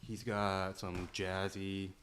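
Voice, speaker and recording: Lowish-voiced, slangy male podcast co-host. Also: monotone, slow pace, noisy background. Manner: slangy, informal, often tags lines with bro or you know. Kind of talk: casual conversation between friends.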